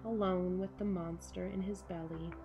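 Expressive American woman reading to children. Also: lowish voice, moderate pace, over music. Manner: animated, exaggerated enthusiasm for young children. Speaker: camp director hosting a children's story corner. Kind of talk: children's storytime presenting and picture-book read-aloud. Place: small furnished room at home, indoors.

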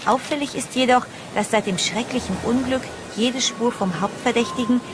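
A woman's voice: a little high, normal speed, noisy background, close to an everyday voice. An actress playing a young woman caught up in a horror story, narrating and speaking in a German audio drama style. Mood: serious reading. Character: young woman narrating her horror adventure, stunned, curious.

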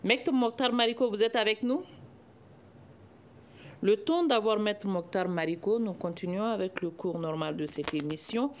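A middle-aged woman hosting a studio debate, asking questions in a clear, formal way. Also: mid-range voice, normal speed. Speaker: host. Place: television studio. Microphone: desk microphone.

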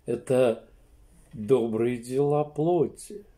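An older man, a pastor, preaching a sermon slowly and emphatically from a small room, with pauses and restarts. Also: mid-range voice, dark tone. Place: small room indoors, seated in armchair.

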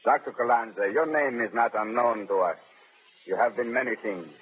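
A man's voice: medium pitch, moderate pace, slightly rough. An actor playing a cautious male military dictator with a clipped, authoritative manner, from a tinny 1930s radio broadcast. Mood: stern.

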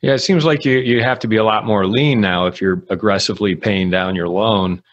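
Low-voiced male podcast host, dull mic. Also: fairly even intonation, dry recording. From United States. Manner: measured, curious interviewer. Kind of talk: podcast interview.

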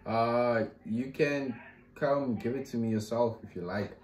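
A man's voice: mid-range voice, unhurried, roomy sound, crowd noise. Cheeky young South African man. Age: young adult.

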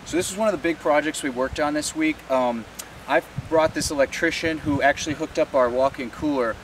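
A man's voice: a little high, fairly quick. Man talking casually over noisy outdoor hiss. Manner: informal, plain-spoken. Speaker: farmer, vlog host. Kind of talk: casual explanatory vlog talk. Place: outdoors on a farm. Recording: clear background noise, hiss.

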